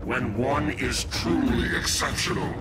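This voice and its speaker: An actor playing an arrogant, treacherous giant gorilla with a deep growl and a grandiose, menacing delivery in a video game. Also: male voice, a little slow, close to an everyday voice, poor audio. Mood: boastful. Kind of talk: dramatic video game acting. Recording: loud music over the voice.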